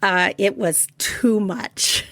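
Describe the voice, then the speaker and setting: A woman's voice: mid-range voice, slow, a bit bright, no echo. A middle-aged woman co-hosting a podcast over a video call, welcoming guests and telling stories. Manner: friendly interviewer, tells anecdotes. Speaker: podcast co-host. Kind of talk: casual chat.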